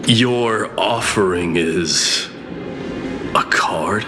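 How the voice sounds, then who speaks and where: A man's voice: low voice, extremely slow. A man playing a proud, scornful warrior prince in a fantasy game, haughty and challenging. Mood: haughty, intrigued. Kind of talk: dramatic fantasy game voice acting. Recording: long reverb, music behind.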